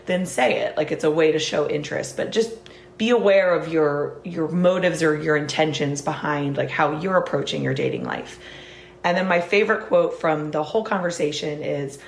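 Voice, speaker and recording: A woman hosting a podcast, a little hoarse, chatting casually with lots of "like" and restarts. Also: deep voice, echoey room, slight background noise. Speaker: podcast host. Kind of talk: casual interview chat and solo outro.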